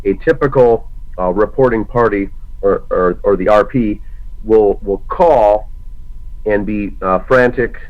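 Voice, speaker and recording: A fairly low-voiced man hosting a casual online broadcast, slightly hoarse from a cold. He talks haltingly, pausing on fillers and restarting phrases. The audio is dull and lo-fi. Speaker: podcast host. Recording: lo-fi muffled audio.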